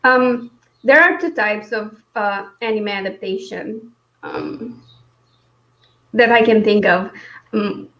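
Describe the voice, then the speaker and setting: A woman's voice: mid-range voice, slow, pauses often, fairly bright tone. A woman chatting casually about books as a stream co-host, informal and hesitant, with a wry, self-deprecating manner. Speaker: co-host of a book discussion stream. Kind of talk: casual unscripted book chat. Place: indoors on a live video stream. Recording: dull lo-fi online call audio.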